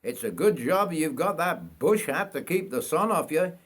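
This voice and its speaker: A friendly railway conductor from a storybook, acted by a man. He talks in a blunt, matey, colloquial way. His voice is pitched up and hearty, as if helping a young passenger along. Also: lively intonation, normal speed.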